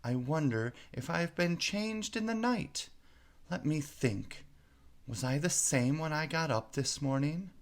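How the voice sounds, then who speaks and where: A man's voice: fairly high pitch, animated, measured pace, voice pushed up. A man voicing a bewildered, dramatic young girl from a children's storybook, musing aloud and exclaiming to herself. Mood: puzzled.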